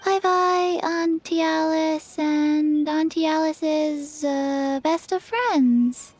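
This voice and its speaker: A woman voicing a shy, polite little girl in a video game, with a small childlike voice and halting, subdued delivery. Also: high-pitched, steady tone, a little mellow, soft background music. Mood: timid, placid.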